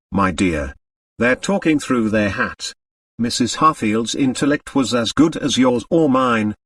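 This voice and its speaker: Blustering middle-aged doctor for an audiobook, performed by a male narrator: emphatic, breezy, very animated, dry sound. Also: mid-range voice, no echo, recorded from a distance. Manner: energetic, emphatic, fuming.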